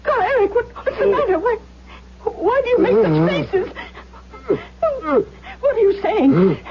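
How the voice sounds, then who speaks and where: A frightened woman in a gothic 1970s radio play, imploring and agitated. Her voice is high and swings dramatically, caught on a dull broadcast recording. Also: huge pitch swings, unhurried, heavily acted. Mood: frightened, pleading.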